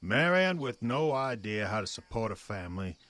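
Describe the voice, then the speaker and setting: Deep, stern old farmer father. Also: big pitch swings, normal speed, fairly bright tone. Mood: stern, scornful. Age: older. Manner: rural old-fashioned speech, curt and scolding. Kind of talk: period audio drama acting.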